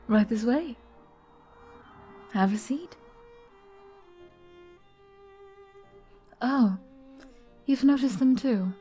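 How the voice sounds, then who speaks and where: A woman playing a flirty, gentle arbiter bartender in an ASMR roleplay, soft-spoken and coy as she explains things patiently. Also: fairly high pitch, takes it slowly, soft background music.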